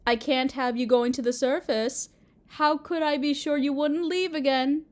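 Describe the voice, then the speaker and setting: A woman playing a doting, possessive Mother Earth goddess in a roleplay audio drama, sweet and cooing as if to a small child, with gentle menace. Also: high voice, fairly even intonation, moderate pace, close to an everyday voice. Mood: chiding possessive.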